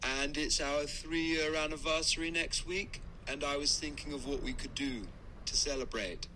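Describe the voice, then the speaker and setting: An actor playing a dull, emotionless barrister in his thirties, leaving a halting, matter-of-fact voice message in an audio drama. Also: fairly high pitch, fairly even intonation, bright, forceful tone, a little hiss or hum. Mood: flat, awkward. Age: 30s. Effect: phone voice note.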